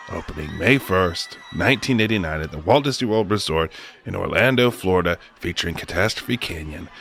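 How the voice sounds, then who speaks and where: A man playing a boastful, excitable company boss given to wistful self-pity, theatrical and rambling in a comedic radio drama. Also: lowish voice. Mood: boastful, excited.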